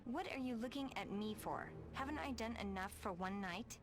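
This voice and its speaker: A woman's voice: a little high, moderate pace, music in the background, lightly acted. An actress playing a spoiled, self-centred young woman in a game cutscene, sarcastic and complaining. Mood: sulky. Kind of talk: video game cutscene acting.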